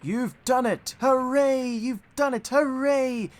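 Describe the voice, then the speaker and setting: Narrator as storybook train coaches, excitable, chanting, sing-song, much higher pitch. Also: slow pace. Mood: jubilant. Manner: rhythmic repetitive sing-song.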